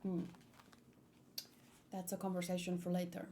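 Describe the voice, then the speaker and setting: A woman's voice: on the low side, monotone, moderate pace, dark tone. A woman doing a casual read-aloud with chatty, off-the-cuff commentary in an informal manner. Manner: informal, chatty, acts out dialogue lightly. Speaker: reader giving manga read-along and commentary.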